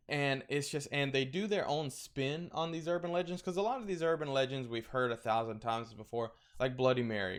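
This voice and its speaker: A man's voice: mid-range voice, clean tone. A man with a crackly voice chatting casually, rambling through recommendations with lots of fillers and restarts. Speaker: streamer recommending horror content.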